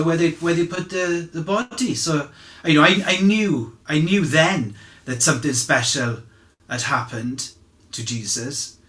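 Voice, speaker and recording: A man playing an earnest disciple testifying as an eyewitness at a dramatized inquest, speaking conversationally and haltingly as he searches for words. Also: fairly high pitch, a bit bright. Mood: earnest, recalling. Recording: echoey room.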